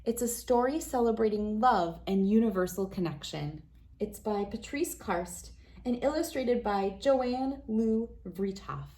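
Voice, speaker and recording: A woman reading a children's picture book aloud as host, with expressive, deliberate storytelling phrasing. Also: fairly high pitch.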